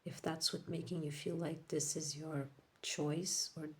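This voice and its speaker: A woman whose first language is Portuguese, giving a casual tarot reading to viewers, rambling and correcting herself, often dropping into soft whispers. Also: sits low, flat delivery, unhurried. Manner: drifts into soft whispers while laying cards. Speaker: tarot reader.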